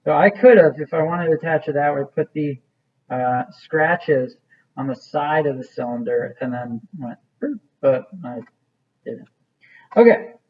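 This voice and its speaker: A man's voice: on the high side, lively intonation, unhurried, muffled recording. A man teaching an art class, walking students through a clay project in a casual, rambling way with many fillers. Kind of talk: classroom demonstration.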